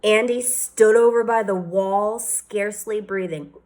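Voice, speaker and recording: A woman teacher reading a children's novel aloud with expressive storytelling and varied emphasis. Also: mid-range voice, a little slow.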